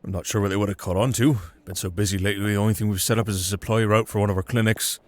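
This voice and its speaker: Man acting a low-voiced, guarded merchant baron. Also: fairly even intonation, very fast, natural voice. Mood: wary, dry. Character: shrewd, wary merchant baron, secretly ruthless husband. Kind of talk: ASMR audio roleplay acting.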